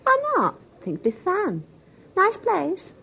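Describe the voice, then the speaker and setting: An actress playing a worldly, teasing mistress in a 1950s radio drama, arch and breezy. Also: female voice, extremely high pitch, huge pitch swings, unhurried, gravelly, pushed and bright, heavily acted. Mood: amused. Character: flirtatious, worldly, teasing woman, the other lover. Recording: dull, noisy 1950s radio recording.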